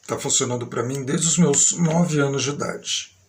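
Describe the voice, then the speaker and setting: A man's voice: mid-range voice, normal speed, a little hiss or hum. A middle-aged man from Brazil with a São Paulo accent, talking in a grandiose, aggrieved monologue that meanders at an uneven pace. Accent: São Paulo Brazilian Portuguese. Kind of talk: rambling monologue to camera.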